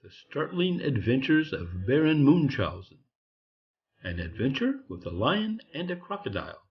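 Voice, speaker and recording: A man reads a scripted storybook tale as a narrator, in a theatrical, measured storytelling manner. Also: medium pitch, very animated. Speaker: audiobook reader. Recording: dull lo-fi recording with music behind. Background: music.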